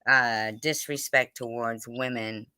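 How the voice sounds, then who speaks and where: A woman's voice: very deep voice, fairly even intonation, slow pace, sharp tone, smooth, no echo, clean studio sound. A woman in casual, argumentative conversation, insistent and repeating herself to press her point.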